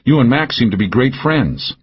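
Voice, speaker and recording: A man voicing a cool, dry-witted woman drug agent in an audiobook, with a deadpan manner. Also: mid-range voice, normal speed, husky, lo-fi sound, voice pushed up. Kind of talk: audiobook character voice.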